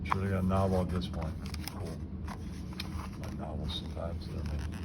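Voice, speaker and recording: A man's voice: deep voice, steady tone, moderate pace, heavy background noise. A man muttering offhand, casual commentary, mumbling and sometimes swearing. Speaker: host of a homemade puppet show.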